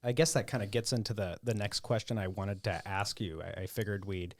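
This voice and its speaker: American male podcast host. Slightly low voice, casual, joking, self-deprecating. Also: fairly even intonation, a little fast. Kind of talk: casual interview chat.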